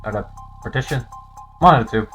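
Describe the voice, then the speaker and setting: A man giving casual live playthrough commentary as a game streamer, excitable and easily amused. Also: medium pitch, fairly quick, soft background music.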